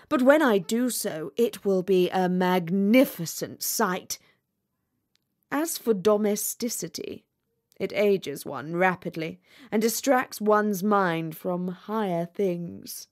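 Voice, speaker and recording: Woman doing a vain boy rocket from a storybook, snooty and pompous, talks real slow. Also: mid-range voice, a little mellow. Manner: pompous, condescending. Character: vain, haughty male firework rocket, self-important. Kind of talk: storybook character reading.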